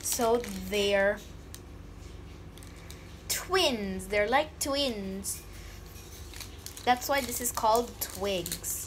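A woman's voice: on the high side, extremely slow, pauses often, background noise. A woman unboxing candy on camera in a casual vlog, joking and pretending to be scared. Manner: playful, mock-scared squeals and jokes. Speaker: vlogger. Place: indoors at a table. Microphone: phone camera held over a table.

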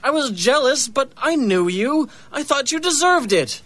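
A man's voice: very high-pitched, animated, measured pace, fairly bright tone, exaggerated voice. An actor playing a bitter, intense man accusing his rival in an audio drama. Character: bitter ousted male radio host Len, accusing. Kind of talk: radio drama acting.

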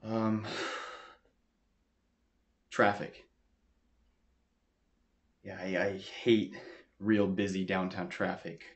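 An actor playing an anxious, deferential young war veteran with an American accent, speaking haltingly in a therapy interview drama. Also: male voice, sits low, little pitch movement, highs cut off. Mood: uneasy, halting. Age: young adult. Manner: halting, subdued. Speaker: actor as patient. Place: small furnished room, indoors.

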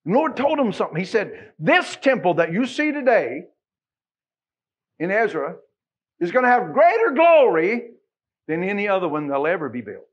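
A middle-aged American man preaching a Baptist sermon, emphatic, moving between quiet asides and loud exclamations and quoting scripture. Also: high-pitched, big pitch swings, normal speed, husky. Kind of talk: Sunday sermon with scripture quotations. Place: small church sanctuary, at the pulpit.

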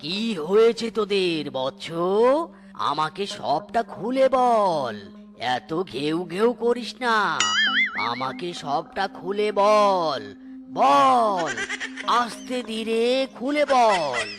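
Cartoon tantric exorcist, played by a man: high male voice, slow, theatrical authority, music underneath. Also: big pitch swings, exaggerated voice. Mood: calming, authoritative. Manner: commanding, chanting, deliberate. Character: stern holy tantric exorcist, commanding and fatherly. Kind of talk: theatrical children's cartoon acting. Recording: music behind the voice.

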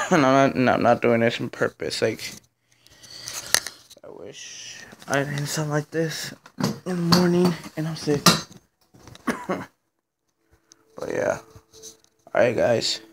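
A young man with a hoarse, sick morning voice, rambling casually to viewers in a phone vlog from his bedroom. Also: fairly high pitch, expressive, takes it slowly, long pauses, heavy background noise. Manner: rambling, filler-heavy, addresses viewers as guys. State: hoarse from being sick and just woken up. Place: dim bedroom at home, indoors. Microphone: phone held in hand.

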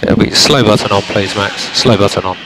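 A man working as a race engineer, giving calm, clipped instructions to a driver over team radio. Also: on the low side, brisk. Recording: noisy team radio, car engine sound.

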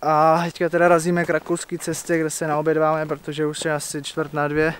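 Young male hiking vlogger, noticeably high voice, informal and slangy, recorded at arm's length outdoors. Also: monotone, soft background music. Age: young adult. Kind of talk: casual vlog chat. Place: outdoors on mountain and forest trails. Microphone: handheld camera at arm's length.

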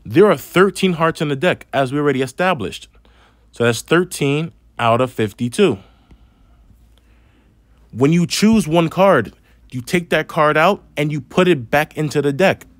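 A man's voice: a little high, lively intonation, measured pace, no room sound, studio quality. A man with a slight lisp teaching a math lesson over a tablet screen, explaining patiently step by step. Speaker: math instructor.

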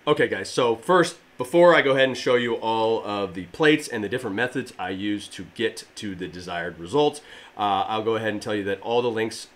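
A man in his thirties with a slightly raspy voice, casually explaining a DIY project to camera in his home garage gym, with plenty of fillers. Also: lowish voice, brisk, a bit bright. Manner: rambling, filler-heavy, friendly explainer. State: allergies. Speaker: fitness DIY channel host. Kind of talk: casual how-to talk to camera.

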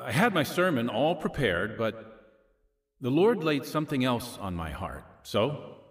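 A folksy, earnest preacher for an audio drama. His pitch moves freely as he exhorts the congregation. Long hall reverb trails his voice. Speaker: actor in a radio drama.

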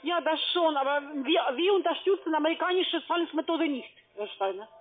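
A woman speaking as a call centre agent, insistent and halting, on a phone call. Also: high voice, animated. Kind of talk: phone sales pitch. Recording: tinny narrow telephone line.

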